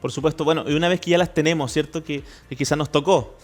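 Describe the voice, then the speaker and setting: A man hosting a morning show, asking questions in a friendly way and often cutting in with quick agreement. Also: fairly high pitch, steady tone, soft background music. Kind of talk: casual interview questions.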